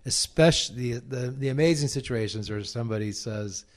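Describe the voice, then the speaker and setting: Man interviewing a seminarian, halting. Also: mid-range voice. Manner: halting, with many restarts and repeated words. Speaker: priest interviewer. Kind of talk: radio interview.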